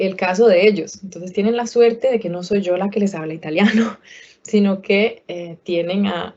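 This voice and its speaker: A woman answering questions as an expert in an online talk, pausing often with fillers and restarting her phrases. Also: mid-range voice, warm tone, muffled recording. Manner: hesitant, many fillers and restarts. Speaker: guest expert speaker.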